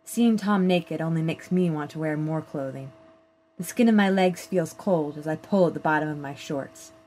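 A woman reading a first-person literary short story aloud in a measured, plain storytelling manner. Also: low voice, darkish tone. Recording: clear background noise.

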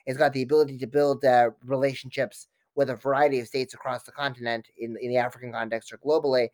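A man's voice: mid-range voice, flat delivery, quick pace, darkish tone, no echo. A man speaking as an expert guest in an interview, giving analytical, wordy answers with frequent false starts.

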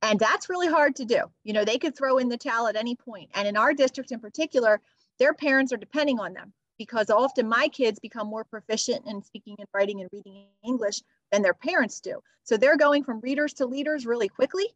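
A woman's voice: on the high side, fairly quick, no room sound, muffled recording. A woman teacher talking in an earnest, upbeat way as a podcast guest, with many "you know" fillers. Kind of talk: podcast interview. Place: near Philadelphia.

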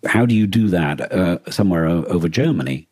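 Deep-voiced man in thoughtful discussion. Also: expressive, brisk, darkish tone, no echo, clean studio sound. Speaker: podcast co-host. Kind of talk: casual discussion.

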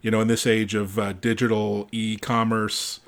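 A man's voice: on the low side, level tone, normal speed. A man co-hosting a podcast in casual banter, with a wry, joking manner. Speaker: podcast co-host.

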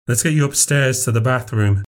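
A man's voice: mid-range voice, normal speed, lightly acted. A man voicing a concerned but firm mother in an audiobook, fretful and scolding. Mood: anxious. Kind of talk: audiobook character voice.

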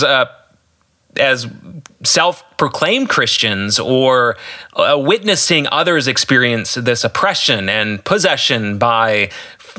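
Bright, forceful higher-voiced man, podcast commentary. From United States. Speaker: podcast host and author commenting on own book. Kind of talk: informal solo commentary.

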